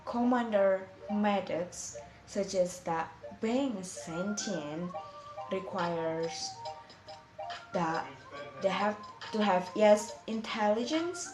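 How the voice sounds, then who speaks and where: A woman from Indonesia, speaking English as an as she presents a school video, halting and searching for words in simple phrasing. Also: medium pitch. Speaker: student. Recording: roomy echo with music behind.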